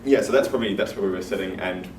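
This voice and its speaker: A man opening a community workshop in a relaxed, casual way, with many fillers and frequent chuckles. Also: deep voice, very fast, crowd noise. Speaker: workshop organiser. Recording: roomy, distant, noisy room.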